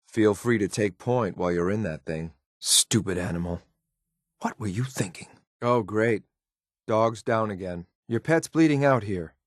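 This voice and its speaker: A low, closely recorded voice of a hard-edged military companion from a video game. He is a dry, sardonic soldier with clipped phrasing, dutiful to his commander yet openly disdainful. The sound is dry, with no room around it. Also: no room sound. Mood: curt, confident. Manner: clipped, dry sarcasm, addresses superior respectfully.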